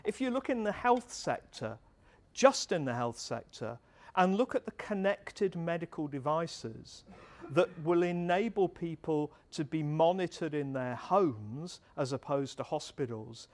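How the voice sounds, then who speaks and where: Middle-aged male presenter, a touch high-pitched, conversational and earnest on a handheld mic in a large event hall. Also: animated, unhurried, a little mellow. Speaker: speaker at a tech meetup. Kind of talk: conference talk.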